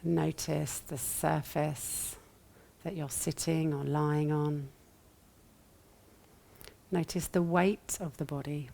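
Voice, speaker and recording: Slow, low-voiced woman guiding meditation. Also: steady tone, gravelly. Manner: gentle, soothing, long pauses between short phrases. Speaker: yoga nidra meditation teacher. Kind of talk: guided meditation.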